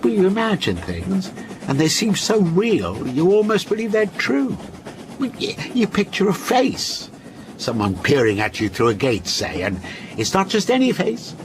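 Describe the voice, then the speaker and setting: Radio drama: genial yet unsettling male storyteller, animated intonation, teasing and deliberate, noisy train background. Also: high-pitched, heavily acted. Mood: eerie, probing. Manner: measured, coy, teasing. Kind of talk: radio drama acting, telling a ghost story.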